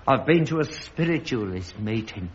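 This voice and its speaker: An actor playing an earnest, credulous elderly London father in a sitcom, insistent and quick to defend himself. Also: mid-range voice, lively intonation, close to an everyday voice. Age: older. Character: credulous, indignant elderly father, rag-and-bone household. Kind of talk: studio sitcom acting. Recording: dull lo-fi archive recording.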